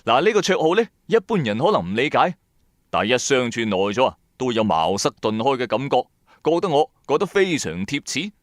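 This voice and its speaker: A man with a Cantonese accent narrating a supernatural tale in the first person, dramatic, colloquial and expressive. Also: medium pitch, fairly quick, dry recording, clean studio sound. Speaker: storyteller narrator.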